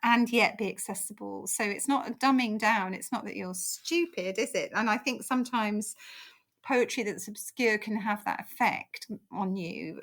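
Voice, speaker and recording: A middle-aged woman with an English accent, answering interview questions in a reflective, unhurried way. Her voice sits slightly high, and her sentences often soften and drop at the end. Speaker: poet and guest. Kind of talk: conversational interview.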